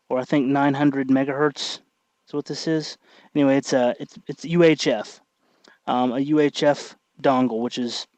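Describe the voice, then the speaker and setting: A man's voice: on the high side, darkish tone, no echo, dull audio. Man talking through hands-on work, dry sound. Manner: halting, thinking aloud while working. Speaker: tutorial host. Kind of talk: casual hands-on tutorial narration.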